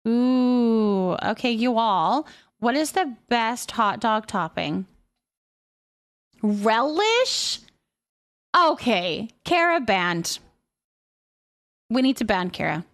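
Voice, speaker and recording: A woman chatting casually and playfully as she hosts a livestream and responds to viewers' comments. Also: on the high side. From Canada. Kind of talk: casual chat, reading and answering viewer comments.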